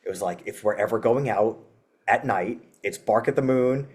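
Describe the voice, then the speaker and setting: A man's voice: lowish voice, moderate pace, darkish tone. A man, a metal band frontman, chatting as a podcast guest, rambling and laughing, with many filler words. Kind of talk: casual interview chat.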